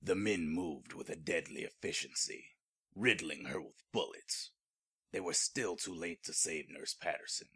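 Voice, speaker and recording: Deep, husky horror narrator played by a man. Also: a little slow, pushed and bright, full character voice. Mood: grave, dramatic. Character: grave male narrator of a horror tale. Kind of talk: dramatic scripted narration.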